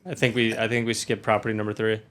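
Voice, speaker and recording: A man's voice: lowish voice, flat delivery, fast, a bit bright. Teasing male podcast co-host. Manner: jokey, teasing. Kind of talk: casual chat.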